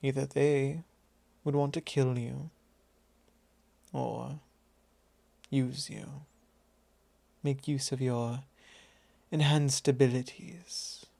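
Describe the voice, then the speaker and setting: A man playing a possessive, teasing vampire lord in an intimate ASMR roleplay, speaking softly in a measured, drawling, formal way. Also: mid-range voice, steady tone, vocal fry, no echo, heavily acted. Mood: probing, quietly possessive. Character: possessive male vampire lord, teasing, calmly probing his thrall.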